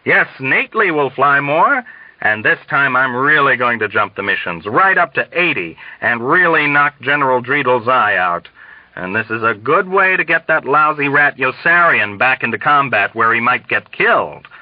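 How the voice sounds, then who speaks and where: A pompous, frantic colonel from an audiobook, acted out by a male reader, swings widely in pitch with emphatic, sententious exclamations. The sound is dry and a little dull. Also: very animated, moderate pace, no room sound, natural voice. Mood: triumphant, scheming. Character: blustering, frantic, scheming male military colonel, eager and pompous. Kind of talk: dramatized audiobook reading.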